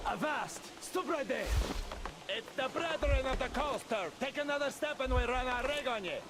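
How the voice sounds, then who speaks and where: Young pirate lookout, shouting, pirate slang, very high voice, game noise. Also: male voice, lively intonation, moderate pace. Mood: threatening.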